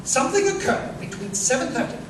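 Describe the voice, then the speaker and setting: An actor playing an incisive, self-assured detective in a live 1930s-style radio drama, speaking precisely and emphatically. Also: male voice, extremely high pitch, big pitch swings, brisk. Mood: brisk, probing. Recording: distant, echoey live stage recording, noisy room.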